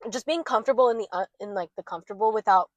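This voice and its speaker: A woman's voice: medium pitch, very fast, no echo, lo-fi sound. A young woman co-hosting a podcast, chatting candidly and rambling with lots of "like". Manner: rambling, heavy use of "like", candid. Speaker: podcast co-host. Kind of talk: casual chat.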